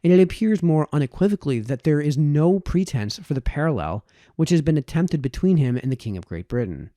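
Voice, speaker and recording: A man reading a formal historical essay aloud as an audiobook narrator, with measured, emphatic phrasing. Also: a little high, very animated, warm tone, no room sound, clean studio sound. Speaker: audiobook reader.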